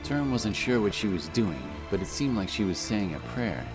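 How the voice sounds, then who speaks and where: A man's voice: fairly low pitch, big pitch swings, normal speed. A man reading scripted fantasy narration as a dramatic storyteller. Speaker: audiobook narrator. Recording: loud music behind the voice.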